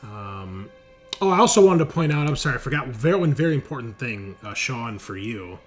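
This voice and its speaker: Man, game master, casual tabletop narration, mid-pitched voice, faint music behind. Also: sing-song, brisk. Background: background music.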